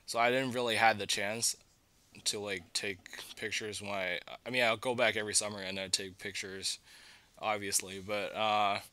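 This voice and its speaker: Teen guy from china on a podcast, lowish bright clear voice, halting casual talk. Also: level tone. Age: teens, a student. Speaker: podcast guest, student photographer. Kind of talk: casual chat.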